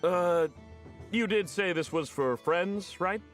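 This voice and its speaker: A man voicing a friendly, upbeat young sweets vendor in a video game, chatty and expressive. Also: high voice, takes it slowly. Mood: helpful, puzzled. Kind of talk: animated video game voice acting. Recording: music under the voice.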